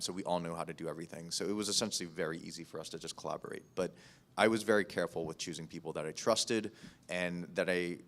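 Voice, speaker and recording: Deep-voiced man chatting on a panel. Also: fairly bright tone. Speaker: podcast producer on a panel. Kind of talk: casual panel talk.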